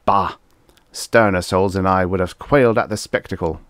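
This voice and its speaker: A man's voice: low-pitched, moderate pace, a bit bright, no echo, studio quality. A man voicing a pompous, easily panicked ambassador, barking and indignant in a science fiction audiobook. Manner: barking, indignant, self-important.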